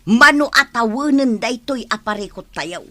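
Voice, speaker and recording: A woman's voice: a little high, lively intonation, normal speed, pushed and bright. A woman playing a teasing, gossipy village neighbour in an Ilocano comedy drama, speaking with an Ilocano accent. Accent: Filipino, Ilocano. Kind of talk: acted village comedy dialogue.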